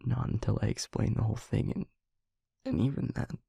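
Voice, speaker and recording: A man playing an anguished demon in an intimate audio roleplay, speaking haltingly and hushed, pleading with someone he loves. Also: fairly low pitch, animated, no echo, clean studio sound, exaggerated voice. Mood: grave, hesitant. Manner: halting, hushed, breathy near-whisper, pleading. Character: anguished male demon confessing to a loved one.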